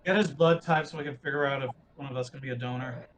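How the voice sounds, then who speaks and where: A man of middling pitch takes part in a tabletop roleplaying game as a player. He improvises his character casually, with faint noise behind his voice. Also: fast talker, a bit bright, poor audio.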